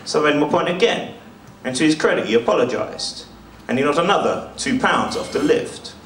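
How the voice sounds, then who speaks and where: A man's voice: fairly high pitch, animated, a little fast, a bit bright. A young man telling stand-up stories to a live room. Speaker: stand-up comedian. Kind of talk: stand-up storytelling. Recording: echoey venue, distant mic, faint noise.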